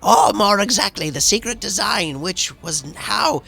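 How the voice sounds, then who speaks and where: A zealous cyborg holy man from a video game. The voice sits high, with a gravelly creak and a sharp, forceful edge. He preaches in solemn, formal, ceremonious phrases. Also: lively intonation, measured pace, full character voice. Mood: reverent. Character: solemn zealous cyborg priest, guardian of a sacred monastery. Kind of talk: theatrical video game dialogue.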